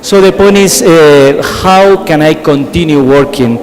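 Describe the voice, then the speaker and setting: Spanish-accented man lecturing in a hall. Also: fairly high pitch. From Spain. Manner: halting, repeats words, asks the audience questions. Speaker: conference speaker, physician. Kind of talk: conference presentation. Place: large conference hall. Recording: hall reverb, faint background ambience.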